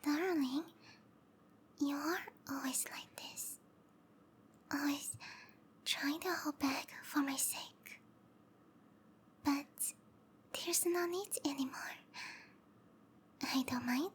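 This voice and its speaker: A woman voicing a sweet, obsessive snake-girl lover in a breathy, cooing whisper for an intimate ASMR roleplay. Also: high-pitched, slow pace, long pauses, slight background noise. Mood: tender, possessive. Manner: cooing, tender, possessive.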